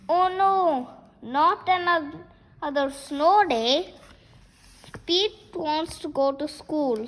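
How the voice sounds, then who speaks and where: High-pitched young child reading slowly. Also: fairly bright tone, rough recording. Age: child, 6 years old. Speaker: host of a children's read-aloud channel. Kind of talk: reading picture books aloud.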